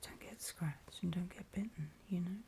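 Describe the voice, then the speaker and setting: A woman playing a wary, battle-worn survivor of a zombie apocalypse, speaking in a breathy, deliberate whisper in an ASMR horror roleplay. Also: lowish voice, natural voice. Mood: wary, instructive. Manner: hushed, deliberate, halting storytelling with pauses.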